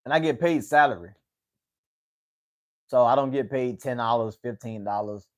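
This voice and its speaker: A man's voice: medium pitch, normal speed. Rambling young man on a livestream. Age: 20s. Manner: rambling, repeats words, says "man" a lot. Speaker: livestream host. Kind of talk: casual livestream chat.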